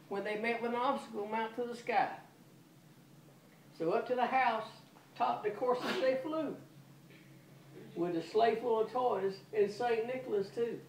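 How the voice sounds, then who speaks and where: An older man, a grandfather, reads a storybook poem aloud to a young listener in a homely read-aloud style. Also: high voice. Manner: pauses for asides to a young listener. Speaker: grandfather reading to a child. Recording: echoey room with faint background noise.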